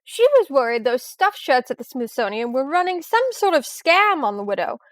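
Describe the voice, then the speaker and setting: A woman playing a brisk, sardonic professor and treasure hunter in an old-time radio detective drama, tossing off confident wisecracks. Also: high voice, sing-song, no echo. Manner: quick sarcastic wisecracks, confident.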